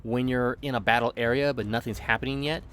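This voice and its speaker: A man's voice: mid-range voice, fairly even intonation, fast talker, noisy background. A man in his thirties narrating a scripted comedic video game review with exaggerated, playful delivery. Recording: background music under the voice. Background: music.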